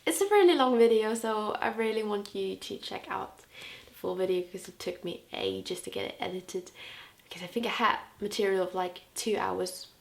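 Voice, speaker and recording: A woman's voice: medium pitch, normal speed, vocal fry. A young woman with a Scouse accent, chatting casually and enthusiastically in a year-in-review vlog. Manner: chatty and enthusiastic, with frequent fillers. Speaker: vlogger and music interviewer.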